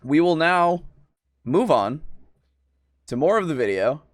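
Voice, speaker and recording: A man's voice: a little high, very animated, slow pace, no echo, studio quality. A man hosting a casual livestream chat in an informal, rambling way with some swearing. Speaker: show host.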